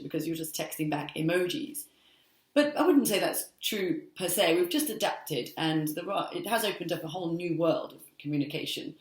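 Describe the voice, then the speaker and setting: A woman in her thirties from England, giving a chatty, reflective spoken monologue with frequent ums and restarts. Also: sits low. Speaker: speaker giving a practice speech. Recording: echoey room.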